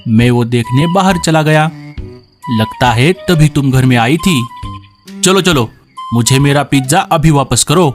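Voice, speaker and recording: A man plays a greedy father bird in a cartoon, grumbling and demanding in a mid-pitched voice. Loud music plays under him. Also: lively intonation, measured pace, natural voice.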